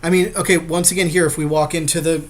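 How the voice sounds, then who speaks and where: A man's voice: on the high side, level tone, fast talker. A man co-hosting a podcast, casually talking through plays in a card game. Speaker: podcast co-host.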